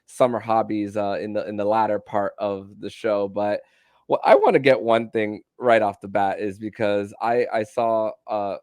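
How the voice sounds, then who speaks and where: A man's voice: fairly low pitch, level tone, normal speed, a little mellow, no echo, studio quality. A man hosting a sports podcast, talking with energy and often restarting his sentences. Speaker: podcast host.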